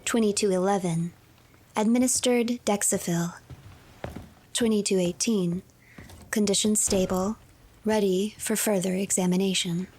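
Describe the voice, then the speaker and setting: Slow, hushed woman narrator. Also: medium pitch, fairly even intonation, a little hiss or hum. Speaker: streamer narrating a game. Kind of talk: scripted story narration.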